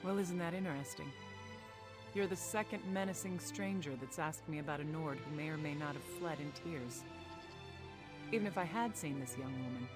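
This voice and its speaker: A woman's voice: sits low, moderate pace, music in the background, natural voice. Woman acting a guarded, wry caravan master. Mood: wary, defiant. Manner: wry, unyielding, matter-of-fact. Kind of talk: video game voice acting.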